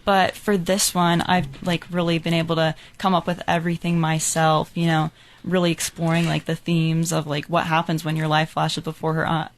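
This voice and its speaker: A woman's voice: low-pitched, level tone, slight background noise. A young adult woman, a musical's director and composer, chatting upbeat in an interview with many fillers. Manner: upbeat, quick, with many "like" and "you know" fillers. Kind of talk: casual interview chat.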